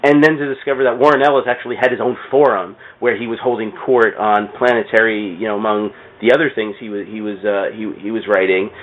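A man's voice: fairly low pitch, steady tone, fast, few pauses. A man chatting as a podcast guest, telling stories in a rambling, enthusiastic way and repeating words. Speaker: podcast guest, comic shop owner. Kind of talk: casual storytelling chat. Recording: tinny phone-like sound, clear background noise.

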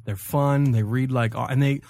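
A man's voice: mid-range voice, fairly even intonation, unhurried, dark tone. A man co-hosting a podcast with casual, quippy, joking banter. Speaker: podcast co-host.

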